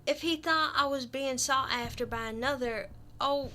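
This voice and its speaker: An actress playing a defensive, genteel mayor's wife in a radio drama, theatrical and coy. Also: female voice, high voice, moderate pace, pushed and bright.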